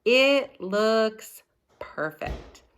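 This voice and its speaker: A woman's voice: a little high, expressive, very slow, a bit bright, clear, resonant tone, voice pushed up, heavily acted. A woman voicing a bossy, indignant schoolgirl from a children's storybook, protesting dramatically. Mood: indignant, upset.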